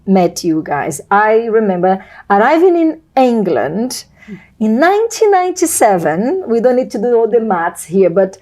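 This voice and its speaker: Female host, Brazilian accent, native Portuguese speaker, mellow tone, effusive conversational interviewing. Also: mid-range voice, very animated, moderate pace. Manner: effusive, wordy, repeats phrases. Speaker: host of a video channel.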